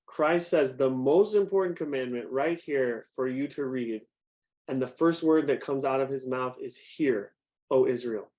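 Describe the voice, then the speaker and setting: Young male youth director, mid pitch, chatty lecturer who quizzes his audience. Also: darkish tone. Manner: conversational, asks the audience questions. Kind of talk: interactive talk to a group.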